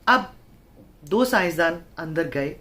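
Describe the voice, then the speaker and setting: A woman telling a horror story in a dramatic, suspenseful way, phrasing it deliberately with pauses. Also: on the low side, expressive, a bit bright. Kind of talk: dramatic horror story narration in Urdu-Hindi.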